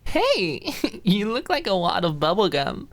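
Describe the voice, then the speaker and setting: A woman's voice: mid-range voice, sing-song, a little slow, pitched above the performer's own voice. A woman voicing a playful young fashion designer in an audiobook drama, chatty, flippant and fussing. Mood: playful, excited.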